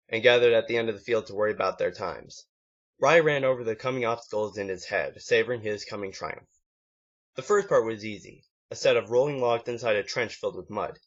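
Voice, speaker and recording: A man narrating a story as an amateur reader, halting now and then and stumbling over words. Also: medium pitch. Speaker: amateur fan fiction reader. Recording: muffled lo-fi home recording.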